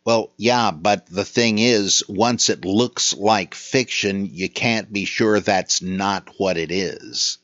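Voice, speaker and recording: A man hosting a podcast, answering listener questions in a rambling, lecture-like way full of pauses and restarts. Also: lowish voice, a bit bright, no echo, dull audio. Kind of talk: casual lecture-style answers.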